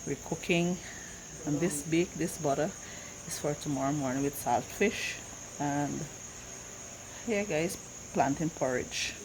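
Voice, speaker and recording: Female cooking vlogger, Guyanese accent, low register, casual think-aloud chat, clearly noisy home recording with insects. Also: unhurried. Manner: thinks aloud, repeating phrases.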